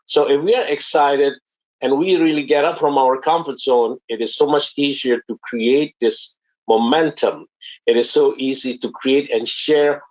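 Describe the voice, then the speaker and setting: A man's voice: medium pitch, fairly even intonation, normal speed, muffled recording. A man from Indonesia giving an earnest, explanatory business webinar talk with frequent fillers. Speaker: network marketing presenter.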